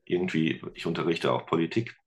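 A middle-aged man, a teacher and musician, talking casually as a podcast guest, relaxed and rambling with many fillers. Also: low voice, fairly quick. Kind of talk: casual interview chat.

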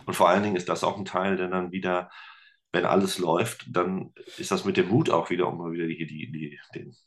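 A man's voice: low-pitched, steady tone, a little fast. A man chatting casually as a podcast guest, rambling with fillers and restarts and joking about himself. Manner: self-deprecating humor. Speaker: podcast guest, teacher and musician. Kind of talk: casual interview chat.

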